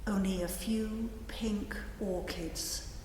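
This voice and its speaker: Very slow female literary reader, echoing hall. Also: mid-range voice, fairly even intonation, darkish tone. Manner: measured, poetic delivery with long pauses. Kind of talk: literary prose reading. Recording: echoey hall with faint background noise.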